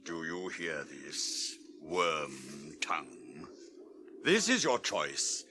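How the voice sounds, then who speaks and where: A man's voice: very deep voice, very animated, very slow, pushed and bright, a little hiss or hum, exaggerated voice. An actor with a British accent playing a proud, resolute old warrior king, declaiming solemnly in a fantasy radio play. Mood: grave, stern.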